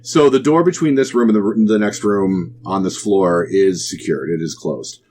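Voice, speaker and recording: Low-voiced man running a tabletop game. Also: normal speed, a little mellow. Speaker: game master. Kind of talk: narrating a tabletop role-playing game.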